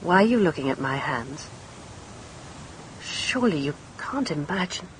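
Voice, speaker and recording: A woman's voice: sits low, lively intonation, unhurried, a little hiss or hum, rough recording. An actress playing a poised, guarded woman suspect with an English accent in a radio mystery drama. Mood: defensive, uneasy.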